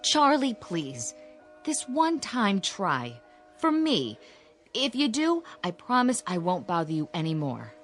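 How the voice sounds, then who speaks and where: An actress playing a worried, bossy sister in a radio drama, speaking frankly and insistently with strong emphasis. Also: female voice, medium pitch, wildly swooping pitch, slow, soft background music. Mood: coaxing, insistent. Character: blunt, pushy, worried sister of a shy man. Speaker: actor in a radio play.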